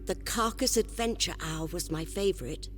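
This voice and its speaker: A woman's voice: fairly low pitch, normal speed, close to an everyday voice. A woman playing a curious, plucky young female traveller in an audio drama, eager and precise in manner. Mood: musing. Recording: music behind the voice.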